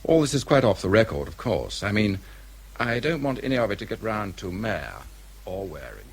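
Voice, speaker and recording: Scheming, offhand British insider. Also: male voice, on the low side, very animated, moderate pace, vocal fry, husky, heavily acted. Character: worldly, scheming male civil service insider, smoothly cynical. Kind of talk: radio drama acting.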